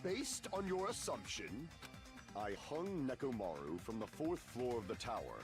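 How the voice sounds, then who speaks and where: A pompous young self-styled dark lord for an anime game. He declaims theatrically in a middle register that swoops dramatically, with music clearly behind him. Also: mid-range voice, sing-song, measured pace. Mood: defiant. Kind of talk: anime video game voice acting.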